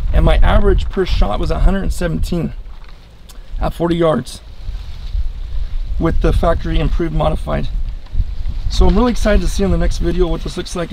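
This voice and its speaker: A man's voice: high-pitched, darkish tone, rough recording. An American man in his forties hosting a casual hunting vlog, explaining things plainly and informally outdoors. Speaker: hunting channel host. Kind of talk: casual product test vlog. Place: outdoors in an open dry yard. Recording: clear outdoor ambient noise.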